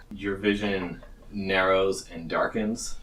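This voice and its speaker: A man narrating a tabletop fantasy game as game master, dramatic and deliberate. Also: low-pitched, steady tone, fairly bright tone. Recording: echoey, slightly distant, faint ambient noise.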